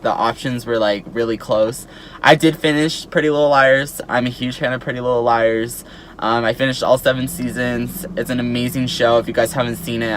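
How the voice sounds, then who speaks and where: 18 yo guy vlogging, chatty, lots of likes and ums, normal pitch, bit of faint noise behind. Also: low-quality recording. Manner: chatty, peppered with "like" and "um". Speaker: YouTuber. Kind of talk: casual vlog chat to camera.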